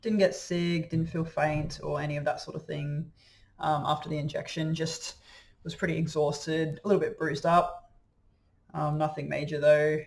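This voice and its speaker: A man's voice: a little high, flat delivery, normal speed, gravelly, slight background noise. A man in his late twenties talking casually in a video diary, easygoing and a little hesitant as he thinks aloud. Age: late 20s. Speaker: vlogger.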